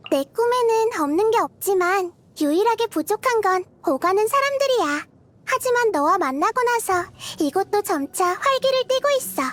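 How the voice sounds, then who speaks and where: A woman voicing a tiny, childlike goddess of wisdom in a video game, cute and girlish, gentle, curious and thoughtful, musing softly. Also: extremely high pitch, animated, normal speed. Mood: tender, curious. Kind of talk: video game character voice lines.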